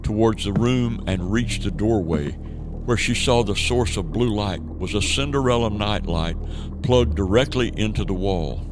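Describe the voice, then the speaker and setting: A man's voice: lowish voice, a little slow, noisy background. A man narrating a horror audio drama in a dramatic, scripted storytelling style.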